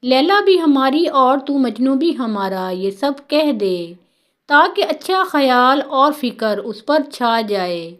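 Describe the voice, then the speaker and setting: A woman voicing a shrewd, patient male master of a household in a told story, measured and persuasive. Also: sits high, animated. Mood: calculating.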